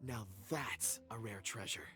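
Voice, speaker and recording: An actor playing an affable, absent-minded young rock expert in a video game, breezy and enthusiastic. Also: male voice, on the low side, unhurried, over music, lightly acted. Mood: admiring, storytelling. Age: young adult.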